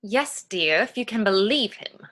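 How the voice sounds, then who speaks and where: A woman's voice: medium pitch, animated, measured pace, fairly bright tone. A woman playing a haughty, dignified young society lady with formal, emphatic delivery in a comic stage play reading. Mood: imperious. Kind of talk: dramatic reading of Victorian comedy play.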